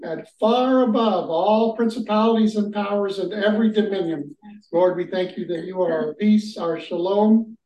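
A man's voice: sits high, moderate pace, dark tone. A man leading a prayer and introducing a guest as host of a ministry gathering, fervent and prayerful. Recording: echoey, muffled, distant mic.

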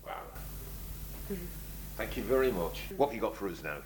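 A man hosting a music podcast from his kitchen, putting brisk, curious questions to his guests with quick affirmations. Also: fairly low pitch, expressive, a crowd in the background, poor audio. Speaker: podcast host, interviewer. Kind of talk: casual interview chat. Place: home kitchen.

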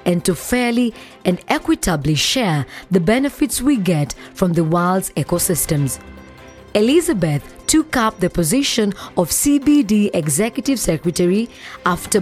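A woman hosting a science podcast, reading a scripted introduction in a clear presenting voice. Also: mid-range voice, darkish tone. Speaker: podcast host.

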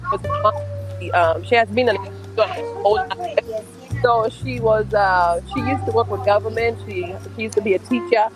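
A woman's voice: mid-range voice, moderate pace, music in the background, low-quality recording. Chatty Tanzanian woman guest. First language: Swahili. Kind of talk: casual podcast interview.

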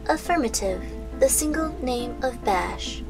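A polite artificial intelligence played by a woman speaks in stilted, formal sentences at a slow, deliberate pace. The literal-minded female machine has a fairly high voice, set in an audio drama over clear background music. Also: lively intonation, low-quality recording. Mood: emotionless, obedient. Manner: stilted, formal, robotic phrasing. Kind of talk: scripted audio drama acting.

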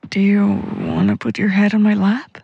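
Supportive, gentle young boyfriend, female actor: soft, hesitant delivery, dry, slightly dull recording. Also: medium pitch, sing-song, normal speed, vocal fry, slightly rough, no room sound, exaggerated voice. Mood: tender, hesitant. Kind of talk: audio drama acting.

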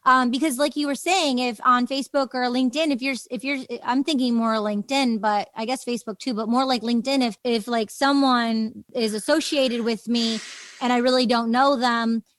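A woman's voice: a little high, steady tone, normal speed, no echo. A woman hosting a casual interview, thinking aloud in a rambling, affirming way. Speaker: interviewer, host.

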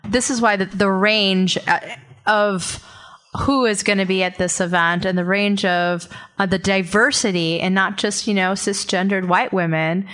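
A woman's voice: medium pitch, steady tone, a little slow, a bit bright. A woman guest in a casual talk show, speaking earnestly and rambling with restarts. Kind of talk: casual chat.

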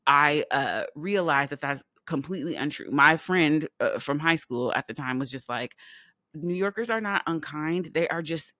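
Podcast co-host, middle-aged woman from California, lowish voice, dry close sound, laughs and swears a lot. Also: expressive, moderate pace, pushed and bright, no echo. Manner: laughs often, swears casually. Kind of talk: casual chat.

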